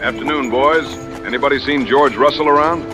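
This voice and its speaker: An actor playing a confident, plainspoken American frontier hunter with laconic, folksy dry humor in a western audio drama. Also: male voice, mid-range voice, fairly quick, over music, lightly acted. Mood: wry, easygoing.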